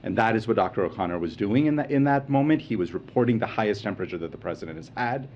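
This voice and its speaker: A man's voice: fairly low pitch, rapid-fire, highs cut off, a little hiss or hum. A man answering reporters' questions at a press briefing, explaining in a reassuring way and stressing key points with energy. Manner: reassuring, explanatory, animated emphasis. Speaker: medical official at briefing.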